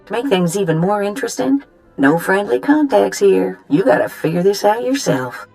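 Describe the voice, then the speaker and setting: A woman's voice: medium pitch, very animated, normal speed, gravelly, a little mellow, faint music behind. A woman playing a sly handler who gives deadly assignments in a video game. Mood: teasing instruction. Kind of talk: video game cutscene acting.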